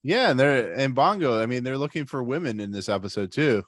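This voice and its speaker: A man's voice: medium pitch, expressive, fairly quick, fairly bright tone, no echo, studio quality. A man hosting a podcast, discussing a TV episode casually and rambling with lots of "like" and "I mean.". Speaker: podcast host.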